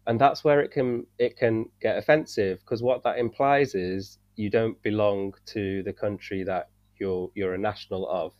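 A man from the UK, a podcast guest, talking in an earnest, measured way and searching for words at times. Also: lowish voice, steady tone, dry recording. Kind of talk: casual discussion. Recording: dull dry sound.

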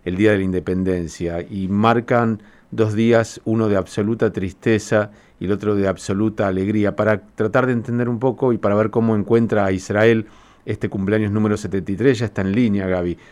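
Lower-voiced male host. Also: steady tone, a little mellow. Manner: measured, formal presenter delivery. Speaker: podcast host. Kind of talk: radio interview.

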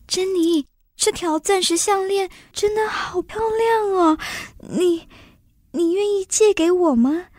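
A woman's voice: extremely high pitch, takes it slowly, darkish tone, no room sound, clean studio sound. A woman acting in a radio drama as a polite, hesitant, eager married woman asking a favour. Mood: grateful, admiring.

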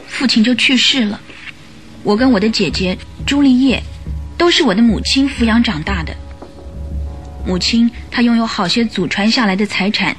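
An actress playing a frightened, sorrowful young gentlewoman seeking help in a Chinese radio drama, speaking politely and hesitantly. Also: a little high, natural voice. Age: young adult.

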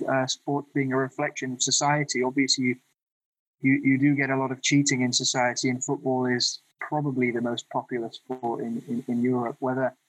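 Husky-voiced Czech-born man interviewing. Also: mid-range voice, dry recording, rough recording. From Czech Republic. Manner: thoughtful, tentative, chuckles lightly. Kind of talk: casual conversational interview.

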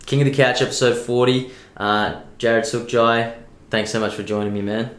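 A man's voice: mid-range voice, steady tone, normal speed, a bit bright. A man with an Australian accent hosting a casual podcast chat, laid-back and sweary. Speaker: podcast host. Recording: roomy echo.

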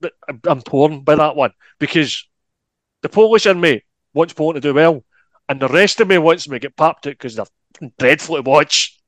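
A man's voice: fairly high pitch, fairly bright tone, dry recording. A man co-hosting a football podcast, blunt and opinionated in casual chat. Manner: blunt and opinionated, quick asides. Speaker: podcast co-host.

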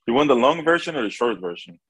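A man's voice: mid-range voice, very animated, fast talker, a bit bright, rough recording. A man from El Salvador with a Salvadoran accent, talking conversationally as an interview guest and often repeating words as he searches for phrasing. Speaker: interview guest, restaurant owner.

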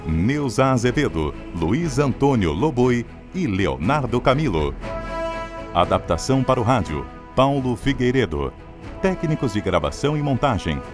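A man with a Brazilian accent working as a radio announcer, reading scripted credits in a formal, emphatic style. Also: medium pitch. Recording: music bed under voice.

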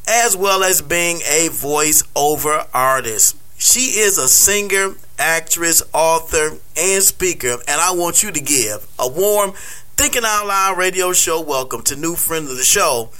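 A man hosting a radio show, interviewing a guest in a slow, halting way with many fillers. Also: a little high, sharp tone.

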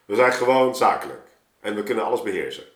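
A man's voice: lowish voice, very animated, quick pace. A man from Suriname talking casually on a podcast as a guest, rambling and thinking aloud as he explains his ideas. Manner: rambling, thinking aloud, frequent 'zeg maar' asides. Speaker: podcast guest, artist and storyteller. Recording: roomy, echoing room sound.

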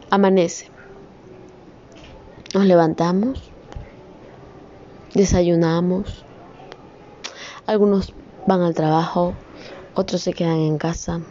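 Young woman, earnest vlogger, warm low tone, phone-recorded with faint room ambience. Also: muffled recording, a little hiss or hum. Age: young adult. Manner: earnest, rambling, rising to emphatic scolding. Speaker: communications student. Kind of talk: personal vlog monologue.

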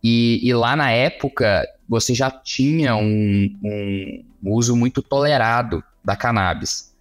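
A man's voice: medium pitch, slow pace. A man from Minas Gerais with a Brazilian accent, explaining things casually as an interview guest, often searching for words.

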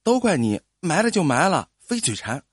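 A man's voice: fairly high pitch, huge pitch swings, fairly quick, no room sound, clean studio sound. A man voicing a farmer's bossy, scheming wife who speaks in a smug, pushy, scolding manner in an audio story.

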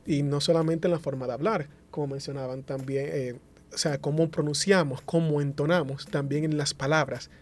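A man's voice: a little high, steady tone, normal speed, a little mellow, a little hiss or hum. A man on a panel explaining a point about language and identity in a lecturing way, with fillers and restarts. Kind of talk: explanatory academic talk.

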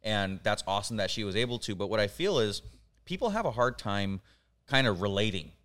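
A man's voice: fairly low pitch, lively intonation, a little fast, a bit bright. A young man co-hosting a podcast, talking in a laid-back, slangy way. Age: young adult. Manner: laid-back, slangy, says dude a lot. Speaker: podcast co-host. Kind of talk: casual podcast interview.

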